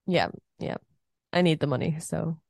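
A woman co-hosting a podcast in a chatty, giggly way, her voice a little deeper than usual after a night out. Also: moderate pace, vocal fry, a little mellow, dry recording, studio quality. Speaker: podcast co-host. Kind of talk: casual chat. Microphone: podcast microphone.

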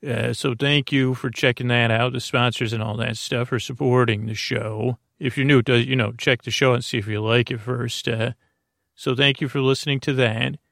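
A man hosting a sleep podcast in a soft, lulling voice with a gravelly edge. He meanders from thought to thought with many pauses and restarts. His voice is recorded close and dry, with no room sound. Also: medium pitch, fairly even intonation, dry recording. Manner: meandering, hesitant, full of fillers and restarts. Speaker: podcast host. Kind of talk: rambling bedtime podcast talk.

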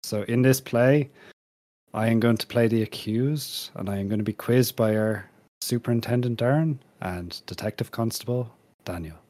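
A man's voice: medium pitch, moderate pace, warm tone, no echo. A man hosting a casual panel podcast, introducing segments in a dry, chatty way. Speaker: podcast host.

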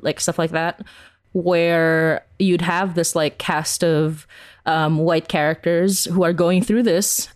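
A young adult woman chatting casually as an interview guest, giggly and often acting out imagined remarks. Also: low-pitched. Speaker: podcast creator and interview guest.

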